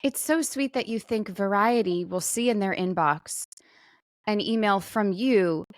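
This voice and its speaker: A woman's voice: mid-range voice, unhurried, no room sound, studio quality. A woman co-hosting a podcast, chatting casually in a self-deprecating way. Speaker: podcast co-host; stage actor. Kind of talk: casual banter.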